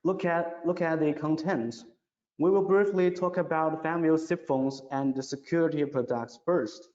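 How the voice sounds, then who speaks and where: A man presenting a scripted product webinar in a careful, halting way, now and then repeating words. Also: fairly high pitch, steady tone, a little mellow. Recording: dull, echoey, reverberant online webinar audio.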